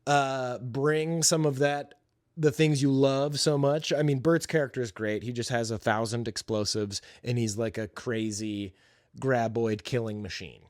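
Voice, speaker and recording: A man's voice: mid-range voice, fairly even intonation, a little slow, a little mellow, no room sound, studio quality. A man hosting a podcast, giving an informal, opinionated and enthusiastic movie review in casual, slangy talk. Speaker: podcast host.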